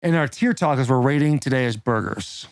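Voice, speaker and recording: A man's voice: mid-range voice, lively intonation, brisk, dry recording, clean studio sound. An American man hosting a podcast in casual, slangy bro talk with plenty of swearing. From Arizona, United States. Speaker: podcast host. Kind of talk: casual chat and conversational ad reads.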